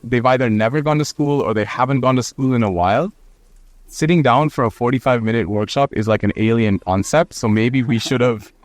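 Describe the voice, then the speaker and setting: A man co-hosting a podcast in casual chat, his voice raspy and a little hoarse from a cold, telling stories in an animated way. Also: mid-range voice, fairly quick, no echo. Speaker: podcast co-host.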